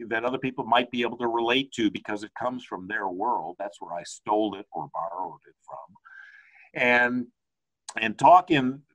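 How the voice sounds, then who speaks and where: A man's voice: mid-range voice, fairly bright tone, rough recording. Older American man talking over video call. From United States. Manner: rambling, conversational with frequent restarts. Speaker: consultant. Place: home study with bookshelves. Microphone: video call webcam.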